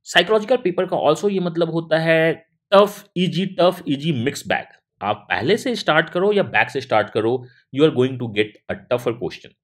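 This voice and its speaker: A man's voice: expressive, unhurried, few pauses, fairly bright tone. A middle-aged man, a teacher talking students through an exam, moving between Hindi and mid-sentence and hitting key words hard. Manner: emphatic, jumps in pitch on key words. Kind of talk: analysis talk to students.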